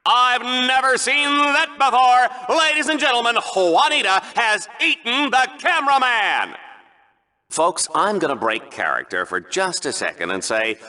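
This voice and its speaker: A man's voice: extremely high pitch, animated, a little slow, sharp tone, heavily acted. An actor playing a flamboyant, vain, manic male announcer in a video game, commentating in a hammy, mock-dramatic way. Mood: frantic. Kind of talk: over-the-top video game sports commentary.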